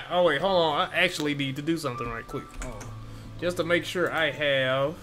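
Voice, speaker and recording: A man's voice: on the high side, normal speed, pushed and bright, faint music behind. A man giving casual gameplay commentary, informal and slangy as he talks to viewers. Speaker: gamer playing on a stream or video.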